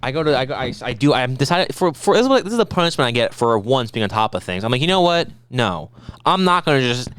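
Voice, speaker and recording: A man co-hosting a casual podcast, rambling and profane, telling animated stories. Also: medium pitch, quick pace, smooth. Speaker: podcast co-host. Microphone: podcast microphone.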